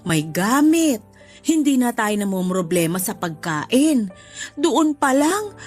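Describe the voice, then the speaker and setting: A woman playing a grateful, selfless older mother in a radio drama, with a Filipino accent and emotional, tender delivery. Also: a little high. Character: grateful, contented, selfless older mother who once was poor. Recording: music bed behind the voice.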